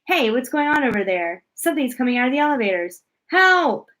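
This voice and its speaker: A woman's voice: high voice, very animated, brisk, a bit bright, pitched above the performer's own voice, poor audio. Woman as male hotel manager, storybook character, eager, effusive, deferential. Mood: alarmed. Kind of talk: storybook read-aloud character voice.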